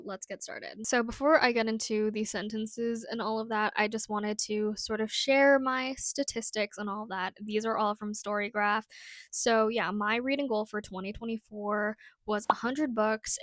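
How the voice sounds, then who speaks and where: Young woman book vlogger with a cold; relaxed, chatty, mid pitch, dry close sound. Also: no echo. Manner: chatty, relaxed, many fillers. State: fighting a cold. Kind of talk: casual reading recap vlog.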